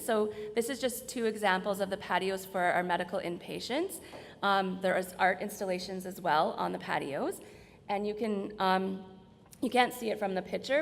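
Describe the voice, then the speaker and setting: A woman with a slightly hoarse voice gives an informal, explanatory slide presentation in a large room. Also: mid-range voice, slight background noise. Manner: explanatory, conversational. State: slightly hoarse, losing her voice. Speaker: presenter from hospital staff. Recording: reverberant hall.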